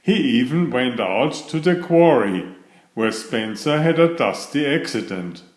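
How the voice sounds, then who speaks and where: A man reading a children's bedtime story aloud in a deliberate, expressive storytelling manner. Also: medium pitch. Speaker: storybook reader. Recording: roomy, echoey sound.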